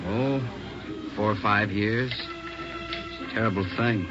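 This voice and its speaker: An actor playing an obliging cocktail lounge organist in a 1940s radio drama, talking casually. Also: male voice, lowish voice, slow pace. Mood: casual, concerned. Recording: muffled old radio, loud organ behind.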